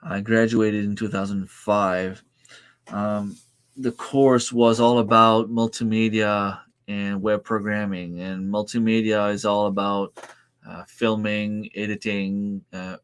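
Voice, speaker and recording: Slow-talking French Canadian man. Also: fairly low pitch, clear, resonant tone. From Northern New Brunswick, Canada. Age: 30s. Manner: easygoing, hesitant, many fillers and restarts. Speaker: farm channel host. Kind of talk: casual livestream chat, answering viewer comments.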